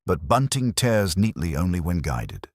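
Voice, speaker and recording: A man voicing a shrewd, softly spoken police inspector in a mystery story, deliberate and given to cryptic, philosophical remarks. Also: low-pitched, big pitch swings, dry recording, studio quality, heavily acted. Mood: knowing, calm. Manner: murmuring, deliberate, aphoristic.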